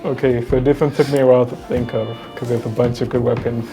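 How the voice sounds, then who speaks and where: A man's voice: medium pitch, flat delivery, fast talker, over music. A man making his case as a panelist in a casual, chatty debate.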